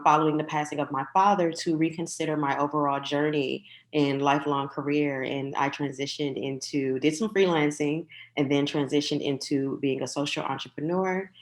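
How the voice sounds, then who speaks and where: An American woman in her thirties giving a polished but informal introduction of herself as a panelist. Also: low voice. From Detroit, United States. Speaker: panelist, entrepreneur. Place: online video call.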